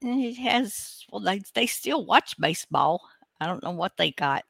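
A croaky, gravelly woman banters as a podcast co-host. She jokes around and pokes fun at herself. The recording is dry. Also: mid-range voice, expressive, measured pace, fairly bright tone, no room sound. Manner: jokey, playful, self-mocking. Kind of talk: casual podcast chat.

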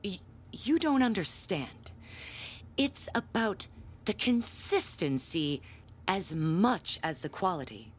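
An actress playing a proud, pompous handbell ringer, telling family lore in grandiose audio drama style. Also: female voice, mid-range voice, lively intonation, slow, a little hiss or hum. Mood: indignant. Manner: grandiose, theatrical storytelling.

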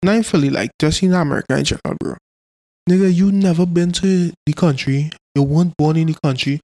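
A man's voice: fairly high pitch, brisk, mellow. A man with a Trinidadian accent co-hosting a podcast, talking in casual slang, blunt and opinionated with heavy swearing. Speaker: podcast co-host.

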